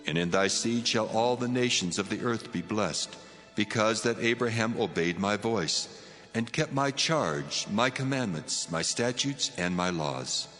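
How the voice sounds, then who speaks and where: A man's voice: lowish voice, lively intonation, unhurried, fairly bright tone. A man voicing God in a dramatized scripture reading, solemn and stately as he gives his blessing. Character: God, solemn majestic male voice giving blessing. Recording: long cavernous reverb, music behind. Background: music.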